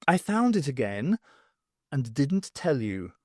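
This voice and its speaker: A man's voice: medium pitch, very animated, slow, no room sound. A man voicing an older, pedantic but kind history professor in an audiobook, dry and precise. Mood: consoling.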